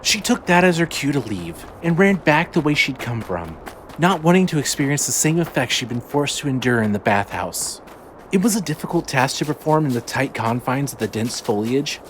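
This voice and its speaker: A man's voice: a little high, low-quality recording. A man narrating scripted fiction dramatically as the narrator of a story. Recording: faint background noise and ambience.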